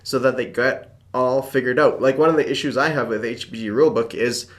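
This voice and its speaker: A man's voice: mid-range voice, fairly quick. Young guy, czech first language, casual video call chat, fills in with lots of mm-hmms. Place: home room with shelves and framed picture.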